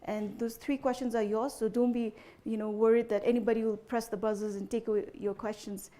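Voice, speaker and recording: A woman's voice: fairly high pitch, level tone, a little fast, warm tone. A young adult woman hosting a student quiz, explaining the rules in a chatty way, often saying "you know" and checking that the teams follow. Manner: chatty, frequent "you know" fillers, checks understanding with "okay?". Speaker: quizmaster.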